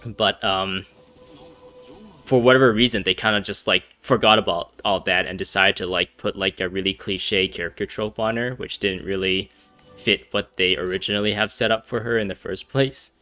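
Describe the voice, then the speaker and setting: Guy rambling over a game playthrough, kinda low, punchy bright voice, lots of ums and likes. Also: fairly even intonation, moderate pace, soft background music. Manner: rambling, hesitant, frequent fillers and restarts, laughs often. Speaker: gaming commentator. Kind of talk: casual gameplay commentary.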